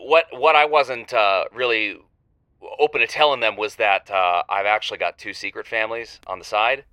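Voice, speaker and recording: A man acts a rambling drifter phoning an advice show. His voice is bright and crisp with a dry sound, and he stammers evasively through a loose, improvised confession style. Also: fairly low pitch, moderate pace, dry recording. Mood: sheepish. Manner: hesitant, stammering, sheepishly evasive. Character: shifty, rambling divorced male drifter caller. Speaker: call-in show caller. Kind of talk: semi-improvised audio drama, casual phone-in talk.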